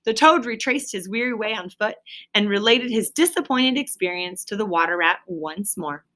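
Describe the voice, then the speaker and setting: A woman's voice: medium pitch, animated, moderate pace, a bit bright. A woman reading a children's story aloud expressively, as a storyteller who explains things to a listener. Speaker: reader, storyteller.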